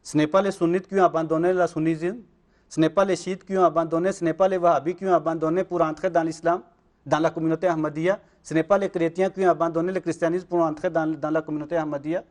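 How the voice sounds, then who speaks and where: A man, speaking in a fairly high voice. He argues insistently as an interview guest, circling back to check that he is understood. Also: flat delivery, fast, darkish tone. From Pakistan. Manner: insistent, repeats "vous comprenez?". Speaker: Ahmadi missionary guest. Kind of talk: religious interview argument.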